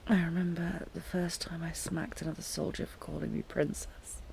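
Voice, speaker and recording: A woman playing a wounded, delirious captive queen in an intimate audio roleplay, breathy and often whispering, halting and lovelorn as if half-dreaming. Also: lowish voice, level tone, a little mellow, a little hiss or hum. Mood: wistful.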